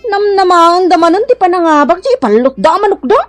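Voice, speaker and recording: A woman acting in an Ilocano radio comedy as a gentle young daughter who is worried and reproving. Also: very high voice, big pitch swings, brisk, faint music behind. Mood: doubtful.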